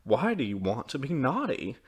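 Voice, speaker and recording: A man's voice: lowish voice, unhurried, fairly bright tone. A man voicing a loving mother in a storybook, tender and earnest in manner. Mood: puzzled, earnest.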